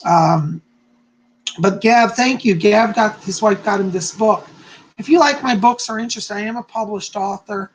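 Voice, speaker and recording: Man, hoarse and raspy, husky voice, chatty live-stream host, effusive, keeps asking "okay?". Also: high voice, moderate pace, low-quality recording. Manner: chatty, effusive, frequent "okay?" and "you know". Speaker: psychic hosting a live stream. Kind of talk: casual live-stream chat greeting viewers. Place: at home.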